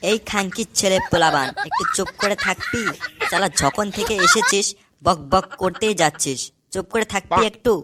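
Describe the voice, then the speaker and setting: A man's voice: high-pitched, sing-song, moderate pace, heavy background noise. A man voicing a cocky, scolding young friend who swears and boasts in a comic cartoon.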